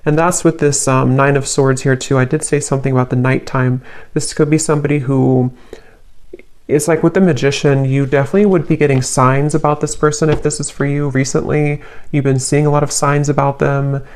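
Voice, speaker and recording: A woman giving a casual tarot reading as host, musing aloud in a halting, tentative way. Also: extremely low pitch, fairly even intonation, darkish tone. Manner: halting, musing, hedging delivery. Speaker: tarot reader, channel host.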